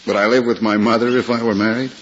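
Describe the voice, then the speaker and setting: Radio drama casting: man as easygoing, wisecracking young male friend, lowish voice, on a dull, crackly vintage recording. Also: steady tone, fast talker, natural voice. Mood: breezy, teasing. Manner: casual, wisecracking. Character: breezy, joking young male lawyer, kindly friend. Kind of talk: 1950s radio drama acting. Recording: noisy, dull 1950s radio recording, clicks.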